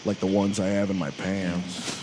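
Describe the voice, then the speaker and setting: A very noisy recording of a man putting on a crude camper with an extremely low, slow, caveman-like voice, in horror radio-play style. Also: flat delivery, close to an everyday voice. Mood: crude, joking. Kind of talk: live horror audio drama acting.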